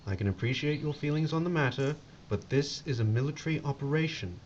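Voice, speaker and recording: An actor playing a wry, courteous American army captain with an American accent in an audio drama. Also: male voice, fairly high pitch, lively intonation, a little fast, lightly acted. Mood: dry, measured. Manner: wry, polite. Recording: dull lo-fi recording with faint noise.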